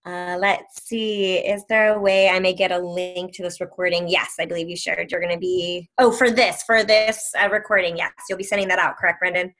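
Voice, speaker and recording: A woman's voice: fairly low pitch, level tone, a little fast, few pauses, fairly bright tone. A woman moderating a question session on a video call, casually relaying questions. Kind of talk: casual reading out of chat questions.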